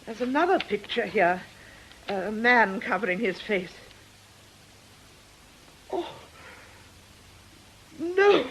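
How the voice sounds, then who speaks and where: An actress playing a polite, reticent, anxious religious sister in a radio drama, hesitant and deferential. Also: female voice, a little high, sing-song, slow, long pauses, a bit bright, slight background noise, exaggerated voice, low-quality recording.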